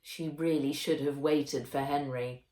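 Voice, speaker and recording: A woman reading a children's novel aloud as its author, in a measured, expressive storytelling way. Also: low voice. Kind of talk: children's audiobook narration. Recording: roomy echo.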